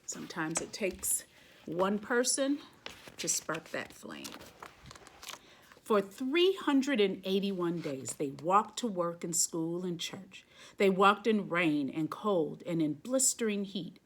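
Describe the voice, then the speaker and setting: A woman reading a children's picture book aloud, phrasing deliberately and stressing key words. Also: on the low side, expressive, slight background noise.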